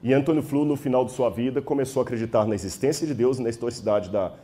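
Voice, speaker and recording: A Brazilian man speaks as a debater in a rhetorical, lecturing style. His voice is mellow and mid-pitched. He is emphatic and explanatory, often checking that listeners follow. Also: fast talker, slight background noise.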